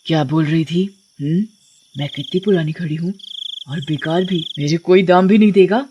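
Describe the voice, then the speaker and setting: A woman's voice: lowish voice, moderate pace, dark tone, muffled recording, slight background noise, natural voice. A woman voicing a vengeful, menacing female witch ghost in an audio horror story. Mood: mocking menace. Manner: menacing, accusing.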